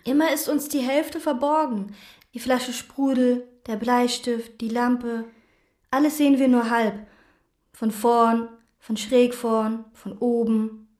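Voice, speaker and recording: A woman playing a brooding, obsessive chambermaid in a radio play, narrating and talking in a quiet, probing, musing way. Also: on the high side, steady tone, slow, reverberant.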